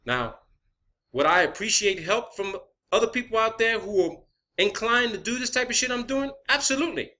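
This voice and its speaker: A man's voice: high-pitched, animated, moderate pace, bright, forceful tone. A man speaking as an online video creator in an impassioned, profane rant, combative and emphatic, repeating phrases to drive points home.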